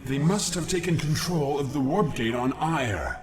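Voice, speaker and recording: An actor playing a young, noble, dutiful alien warrior in a video game, speaking formally like a military officer. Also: male voice, fairly high pitch, lively intonation, unhurried. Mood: urgent. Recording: game music behind voice.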